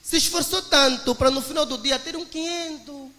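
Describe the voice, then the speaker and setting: A man's voice: very high voice, steady tone, moderate pace, bright, forceful tone, long reverb tail. A young man in his twenties with an Angolan Portuguese accent, giving an emphatic motivational testimony to a church congregation. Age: 20s. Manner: emphatic, preacher-like, addresses listeners as brothers.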